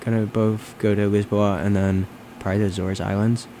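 A young man with a fairly low voice chats casually and leads the conversation. He talks in an informal, conversational way. A faint hum of noise sits behind him. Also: little pitch movement, brisk, mellow. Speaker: conversation leader asking questions. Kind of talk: casual chat. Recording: noisy room with air-conditioning hum.

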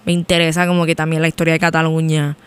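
A woman's voice: sits low, completely flat delivery, fast, smooth. A young woman with a Puerto Rican accent hosting a college radio show, chatting in a rambling way and switching between Spanish and English mid-sentence. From Puerto Rico. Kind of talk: casual on-air chat.

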